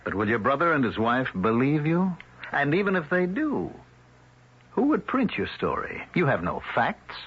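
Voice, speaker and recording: An actor playing a smooth, threatening village leader in an old radio drama, with a husky voice and a mocking manner. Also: male voice, mid-range voice, big pitch swings, moderate pace. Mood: menacing. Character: cold menacing male village leader. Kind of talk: 1970s radio drama acting. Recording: dull old radio broadcast.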